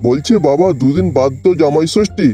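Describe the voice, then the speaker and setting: A man's voice: fairly high pitch, fairly quick, natural voice. A man voicing a fussy, two-faced older father-in-law in a comedy cartoon. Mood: coaxing. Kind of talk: Bengali comedy cartoon dubbing. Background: background music.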